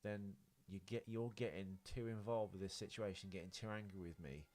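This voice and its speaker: Low-voiced British man chatting casually. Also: fairly even intonation, moderate pace, darkish tone. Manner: sweary, informal, self-interrupting. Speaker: podcast host. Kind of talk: casual chat, rambling anecdotes.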